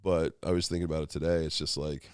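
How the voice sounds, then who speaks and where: A man's voice: a bass voice, flat delivery, quick pace, smooth, dry recording, studio quality. A man in his thirties chatting casually as a podcast guest, in a rambling, self-deprecating way. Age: 30s. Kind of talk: casual chat.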